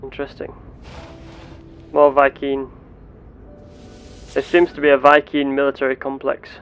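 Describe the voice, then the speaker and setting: A man narrating his gameplay in character as an earnest, curious starship captain, with formal, deliberate wording. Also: medium pitch, completely flat delivery, a bit bright, faint music behind. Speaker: player of a roleplay game series.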